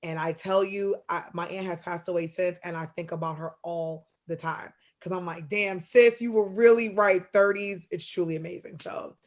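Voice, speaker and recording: A woman in her thirties co-hosting a podcast, telling a lively story and acting out her relatives' lines. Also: fairly low pitch, moderate pace, few pauses. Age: 30s. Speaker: podcast co-host. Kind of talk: casual podcast chat.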